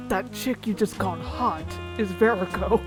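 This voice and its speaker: A woman's voice: medium pitch, rough recording. A woman voicing an amused, teasing fantasy adventurer who speaks in confidential asides.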